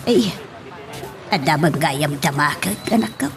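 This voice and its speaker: A woman's voice: low voice, animated, a little slow, vocal fry, slight background noise, exaggerated voice. A woman playing a caring, coaxing mother with an emotive manner in an Ilocano audio drama. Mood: gently coaxing. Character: caring, coaxing mother advising her grown child.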